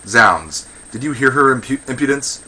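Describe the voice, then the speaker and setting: A man playing a quarrelsome, raspy old Greek man. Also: mid-range voice, expressive, moderate pace, sharp tone, voice pushed up, full character voice. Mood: scornful. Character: quarrelsome, blustering old Athenian men insulting women.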